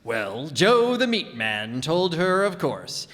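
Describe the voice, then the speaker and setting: An actor playing a folksy 1940s radio announcer, with genial, polished salesmanship. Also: male voice, a little high, very animated, slow pace, a bit bright, in a large hall, exaggerated voice.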